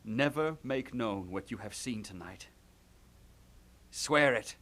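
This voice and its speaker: Guy playing young prince in puppet shakespeare, low voice, unhurried, brooding and declamatory. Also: expressive, fairly bright tone, heavily acted. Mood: urgent, secretive. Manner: declamatory, dramatic. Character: brooding, anguished young prince bent on revenge. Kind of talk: theatrical puppet-show Shakespeare acting.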